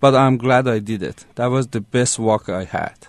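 A man from Iran, giving a halting, plain-spoken personal account as a radio guest. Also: mid-range voice, no echo.

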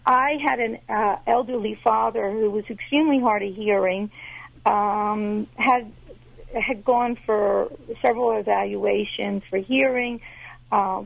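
A high-voiced woman calls in over a thin, tinny phone line. She talks slowly, searching for words with many fillers. Her voice has a gravelly edge. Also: dry recording. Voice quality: high-pitched. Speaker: caller. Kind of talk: phone-in question.

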